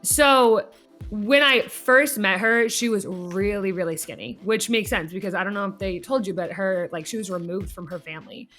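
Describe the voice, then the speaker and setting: A woman's voice: mid-range voice, moderate pace, fairly bright tone, faint music behind. A woman talking casually as a clinician, giving informal, loosely worded explanations of an assessment. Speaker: behavior clinician reporting an assessment. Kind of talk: casual conversational case discussion.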